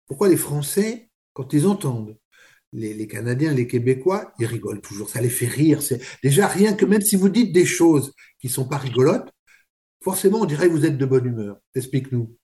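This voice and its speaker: A man in a mid-range voice hosts an interview, asking friendly, curious questions. His tone is relaxed and conversational. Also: mid-range voice, normal speed. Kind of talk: radio interview.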